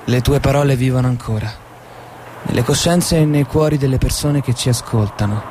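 Against clear background noise, a man acts a calm guide of the dead. His mid-pitched voice is solemn and consoling, in poetic stage drama. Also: brisk, lightly acted. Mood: solemn, reassuring. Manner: solemn, reassuring. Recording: clearly noisy, outdoor-like background.

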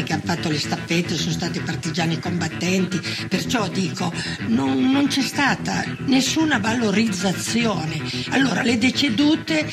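Woman, eighties or older, gravelly, halting, emphatic oral-history testimony. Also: medium pitch. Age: 80s or older. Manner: halting, emphatic, repeats words. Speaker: former partisan giving testimony. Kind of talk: spontaneous interview recollection.

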